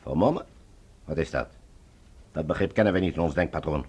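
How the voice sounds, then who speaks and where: An actor playing the cold ruler of a Mars colony in a science fiction radio drama, measured, authoritative and condescending. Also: male voice, a bass voice, a little mellow, slightly rough. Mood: cool, condescending.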